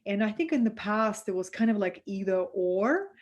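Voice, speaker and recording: A woman from Russia with a Russian accent, hosting a live-streamed spiritual talk and speaking softly and affectionately to her viewers. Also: medium pitch, animated, moderate pace, highs cut off. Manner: soft, affectionate, reads out viewer comments.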